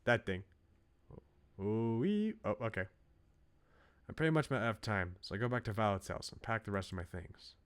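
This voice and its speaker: A man's voice: lowish voice, expressive, normal speed, long pauses, clean tone. A man doing casual livestream commentary, reading a visual novel aloud with playful, dry asides. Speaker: game streamer. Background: game music.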